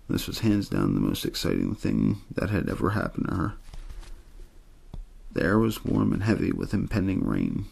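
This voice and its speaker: A man reading a scripted story in ASMR style, with a plain, soothing, untheatrical delivery and a husky, gravelly voice. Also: sits low, a little mellow. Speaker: audiobook-style story reader.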